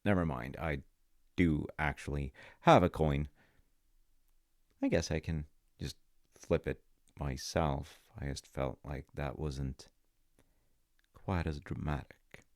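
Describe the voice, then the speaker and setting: A man with a very low voice tells a story at a slow, halting pace, as if to his own child. His delivery is intimate and wry, with dramatic pauses, and the sound is dry and close. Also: darkish tone, no room sound. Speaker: audio roleplay storyteller playing a dad. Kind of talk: intimate storytelling roleplay, ASMR.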